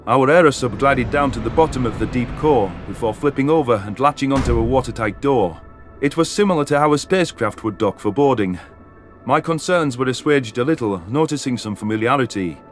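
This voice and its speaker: Mid-pitched man narrating over music. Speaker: audiobook narrator. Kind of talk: scripted first-person story narration. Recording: music behind the voice.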